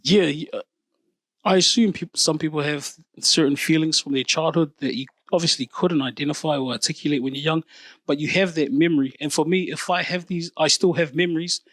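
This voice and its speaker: A man, a podcast co-host answering questions thoughtfully, pausing and correcting himself. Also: fairly high pitch, a bit bright, no echo. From New Zealand. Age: 30s. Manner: thoughtful, hesitant, self-correcting. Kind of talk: reflective interview answers.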